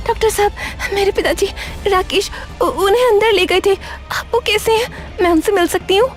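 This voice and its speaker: A very high-pitched, girlish cartoon daughter, acted by a woman, devoted and earnest. She speaks with open emotion and resolve, with faint music behind her. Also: moderate pace. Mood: anxious. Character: devoted young daughter, grieving yet determined. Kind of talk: Hindi cartoon drama acting.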